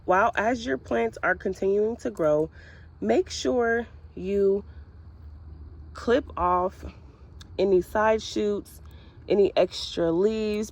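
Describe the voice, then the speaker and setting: A woman with a stuffy cold voice giving casual how-to tips in a gardening vlog, chatty and friendly with little laughs and fillers. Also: mid-range voice. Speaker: gardening vlogger.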